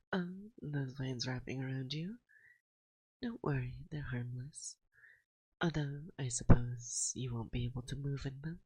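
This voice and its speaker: A woman playing a playful, dominant forest witch in an ASMR roleplay, speaking softly and breathily close to a binaural mic, often slipping into a whisper, teasing and coaxing. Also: a bass voice, husky, no echo, exaggerated voice. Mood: smug, teasing. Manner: slow, teasing, coaxing, with musing pauses. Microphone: close binaural microphone.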